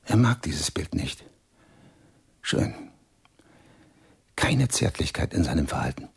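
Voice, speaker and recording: Verbitterter Mann, extrem knarrend und gehaucht. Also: male voice, rumbling low, very animated, takes it slowly, full character voice. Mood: bitter, hateful. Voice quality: very gravelly, breathy, half-whispered. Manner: clipped, brooding fragments. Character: bitter, wounded man loathing a smug friend. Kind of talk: German radio play inner monologue.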